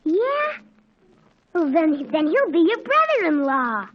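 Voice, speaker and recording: A woman's voice: extremely high pitch, sing-song, slow, exaggerated voice. A woman playing a pesky, gossipy little boy in 1940s radio comedy, baiting coyly and repeating himself. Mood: gossipy excitement. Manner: repetitive, coy baiting. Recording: muffled 1940s radio recording.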